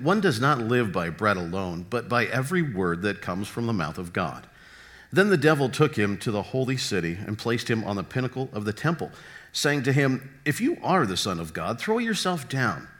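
Animated man reading scripture aloud. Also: mid-range voice. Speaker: scripture reader. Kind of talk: expressive scripted Bible reading.

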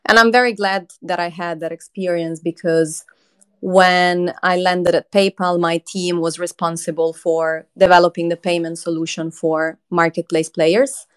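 A woman from Croatia in her thirties, a company chief being interviewed, talking in an earnest, measured way with frequent ums. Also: fairly low pitch, flat delivery, clean tone. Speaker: crypto company CEO, guest. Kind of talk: conversational interview.

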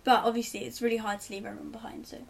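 Teen girl guest, giggly and rambling, higher voice, slightly distant pickup. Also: level tone, very fast, vocal fry, husky. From Italy. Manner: chatty, giggly, rambling advice. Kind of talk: casual chat.

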